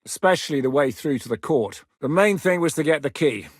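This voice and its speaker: A blunt, sweary rebel commander for a video game, his gruff voice sitting a little high for a man. He snaps out orders in short, clipped lines. The studio sound is close and dry, with no room around it. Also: expressive, a little fast, no echo. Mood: commanding. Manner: barks curt orders, swears often. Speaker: game character.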